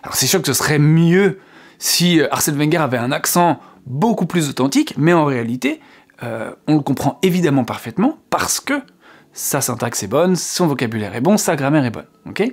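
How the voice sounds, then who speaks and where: A man's voice: fairly high pitch, expressive, brisk. A man, a French speaker, hosting a casual video lesson. He talks chattily, fills gaps with hesitations and keeps asking the viewer questions. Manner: chatty, asks the viewer questions, many fillers. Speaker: English teacher, channel host.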